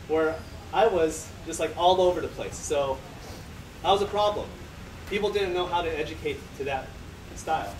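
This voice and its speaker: A man whose first language is Chinese gives a self-deprecating conference talk, stumbling over fillers and cracking jokes. His voice sits slightly high, picked up from far off in an echoey hall with noticeable room noise. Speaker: conference presenter.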